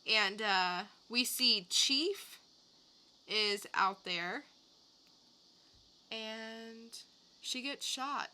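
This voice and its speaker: A woman's voice: on the high side, drawn-out words, pauses often, sharp tone, a little hiss or hum. A woman co-hosting a podcast, recapping and reviewing in a casual, conversational way. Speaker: podcast co-host reviewing comics.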